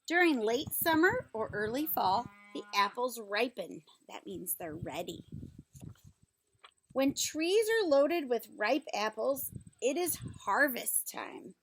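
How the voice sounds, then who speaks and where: A woman reading a children's book aloud like a teacher, pausing to explain things simply and ask questions. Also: a little high, big pitch swings, slight background noise, poor audio.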